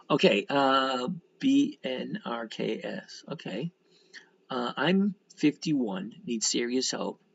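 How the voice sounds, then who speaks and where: A sixty-year-old man with a gravelly, mid-pitched voice hosts a casual livestream. He rambles in a blunt, folksy way as he fields comments. The sound is a little dull, with faint noise behind him. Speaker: livestream host and stock trader. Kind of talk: casual livestream chat, reading and answering comments.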